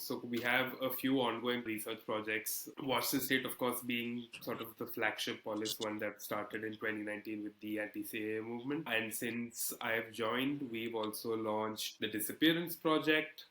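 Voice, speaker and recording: A man's voice: mid-range voice, pushed and bright, slight background noise. A man in an interview explaining his work as an editor in an articulate, self-deprecating, conversational way. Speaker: politics editor being interviewed. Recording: echoey, roomy sound.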